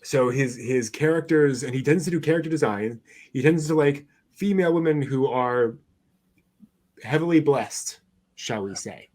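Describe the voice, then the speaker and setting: Male co-host, mid-pitched, casual news commentary, halting as he checks his notes. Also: a little mellow. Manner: halting delivery, searching through notes aloud. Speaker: co-host of an anime news show.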